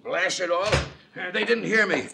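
A man playing a blustering, bumbling western sheriff who stammers in a folksy, gruff way, for a 1950s radio drama. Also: high-pitched, measured pace, exaggerated voice. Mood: grumbling. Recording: noisy old radio broadcast.

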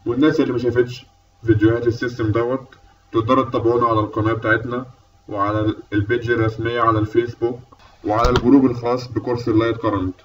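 A man's voice: medium pitch, level tone, racing, recorded from a distance, muffled recording, slight background noise. A man with an Egyptian Arabic accent giving a methodical, explanatory lecture as an instructor, step by step. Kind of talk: explanatory lecture over slides.

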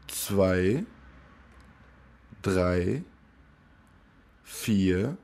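Man, language teacher, slow repeated drill, very unhurried, lowish voice. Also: lively intonation, warm tone. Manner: says each phrase three times, slow and clear.

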